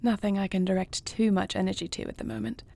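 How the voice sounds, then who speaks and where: A husky, slightly gravelly dragon queen acted by a woman speaks in an intimate fantasy audio roleplay. Her phrasing is formal and regal, yet soft and tender toward her beloved. She sounds resolute and measured, with breath in the voice. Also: mid-range voice, flat delivery. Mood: fond, teasing. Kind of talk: intimate audio roleplay acting, addressing the listener.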